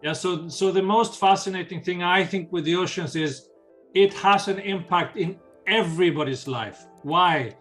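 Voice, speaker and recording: A man's voice: high voice, normal speed, fairly bright tone, soft background music. An older man with a Swedish accent, earnestly explaining ocean conservation in an interview and often restarting phrases. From Sweden. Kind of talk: interview answers.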